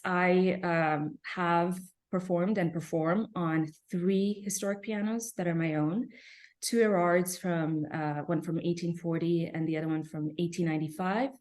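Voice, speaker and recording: A woman from Serbia, a pianist, answering interview questions reflectively with frequent soft fillers and pauses. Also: on the low side. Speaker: pianist guest.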